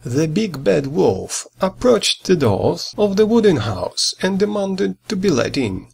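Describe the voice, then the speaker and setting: Guy narrating a kids fairy tale, very raspy, swoops up and down a lot, dramatic. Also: high voice, unhurried. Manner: dramatic, expressive storybook delivery. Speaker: storyteller.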